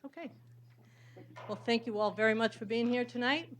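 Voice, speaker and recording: A woman presiding at a city board meeting, giving gentle, halting ceremonial remarks in a council chamber. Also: a little high, slight background noise.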